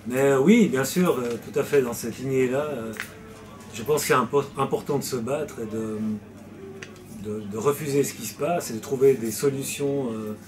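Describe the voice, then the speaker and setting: A man's voice: mid-range voice, moderate pace, over music. A man from Switzerland, a novelist answering interview questions in a hesitant way, with many fillers and restarts.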